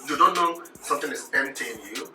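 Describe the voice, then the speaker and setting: Guy host, mid voice, animated story telling, stumbles over words, far off, echoey, music behind. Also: on the high side, quick pace. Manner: animated, laughs while telling, repeats words. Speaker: show host. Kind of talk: casual storytelling. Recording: roomy and distant with music behind.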